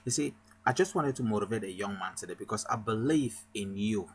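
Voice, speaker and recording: A man's voice: on the low side, expressive, a little fast, breathy edge. A man from Cameroon, a pastor, giving an emphatic motivational sermon with a repetitive rallying cadence.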